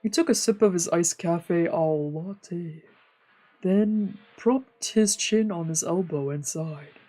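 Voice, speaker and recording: A woman's voice: fairly low pitch, slow pace, warm tone, poor audio. A woman streamer reading scripted visual novel narration aloud.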